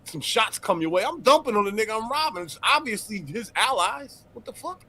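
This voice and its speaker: A man with a high, bright, sharp voice cuts in with blunt, profane jabs. He shares casual commentary as co-host of a live talk show. His remarks are mocking and quick. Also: big pitch swings. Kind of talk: casual commentary reacting to news clips.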